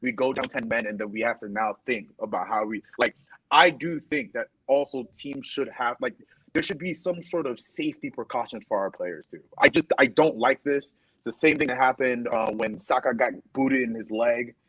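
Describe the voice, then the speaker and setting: A man's voice: mid-range voice, normal speed, fairly bright tone, no room sound, rough recording. A man giving an exasperated rant about refereeing as a panelist on a football chat show, often repeating himself. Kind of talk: casual heated football chat.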